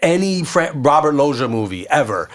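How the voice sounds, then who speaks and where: Man, podcast co-host, joking, hesitant fillers, lively pitch. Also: normal speed. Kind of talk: casual podcast chat.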